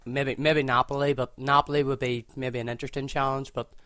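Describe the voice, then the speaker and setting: Flat-voiced Northern Irish young man. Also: medium pitch, brisk, clean tone, no room sound, clean studio sound. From Northern Ireland. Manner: relaxed, thinks aloud, self-correcting as he goes. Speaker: gaming video creator. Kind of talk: casual gameplay commentary.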